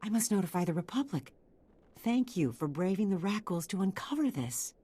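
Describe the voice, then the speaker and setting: A woman playing an earnest, expressive researcher in a video game. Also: mid-range voice, moderate pace, husky. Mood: amazed, earnest. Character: earnest, amazed female researcher. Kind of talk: game character dialogue.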